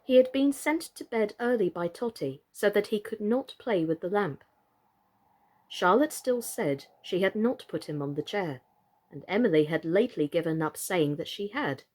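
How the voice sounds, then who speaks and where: Expressive woman reading for children. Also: medium pitch, normal speed. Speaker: children's story reader and online storytime presenter. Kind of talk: storybook narration and friendly presenting to children.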